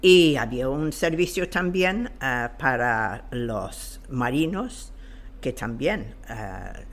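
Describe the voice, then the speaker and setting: A man explaining history in an interview in a hesitant, halting way, often searching for words. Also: mid-range voice, expressive, a bit bright.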